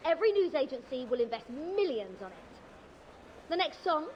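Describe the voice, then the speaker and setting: A woman's voice: high-pitched, big pitch swings, moderate pace, muffled recording, a little hiss or hum. A woman playing a brash young pirate DJ captain in a video game, boastful and grandiose, broadcasting theatrically. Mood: triumphant, smug. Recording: loud music behind the voice. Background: loud music.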